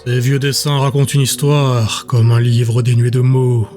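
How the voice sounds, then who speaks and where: A man playing a cold, cultured and commanding lord with a measured, formal manner in an audio drama. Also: medium pitch, level tone, mellow, soft background music, lightly acted. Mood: musing.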